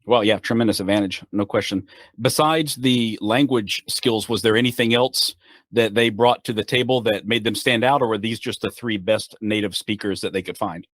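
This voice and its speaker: A man hosting a podcast interview, reacting with quick interjections and asking questions. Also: on the low side, fairly bright tone, no room sound. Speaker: podcast host.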